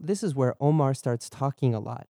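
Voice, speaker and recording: Podcast language teacher, young man, deep mellow tone, measured and clear, dry studio sound. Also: dry recording. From born in Germany, raised in Boston. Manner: clear, measured explanations, slows down for repeat drills. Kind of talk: bilingual lesson narration, Japanese and English.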